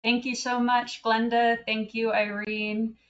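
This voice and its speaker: A woman moderating a session, introducing speakers slowly and deliberately over a video call. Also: fairly high pitch, flat delivery. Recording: muffled video call audio.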